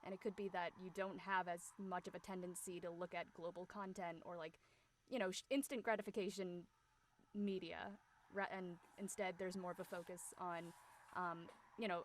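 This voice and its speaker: A woman's voice: on the low side, a little fast, a little hiss or hum. A woman speaking as a panelist in a thoughtful discussion, reasoning things through in an analytical way.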